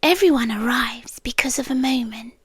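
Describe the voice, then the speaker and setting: A British woman playing an eerie, possessive, soft-spoken doctor in an ASMR roleplay, speaking deliberately in a hush that often slips into whispers, with long pauses. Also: on the high side, slightly rough, no echo. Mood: hushed, mysterious. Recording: close, dry ASMR recording.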